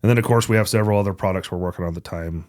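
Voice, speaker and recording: A man's voice: low voice, racing, a little mellow, no room sound, clean studio sound. A man co-hosting a podcast, giving product updates in an informal, conversational way. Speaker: podcast co-host.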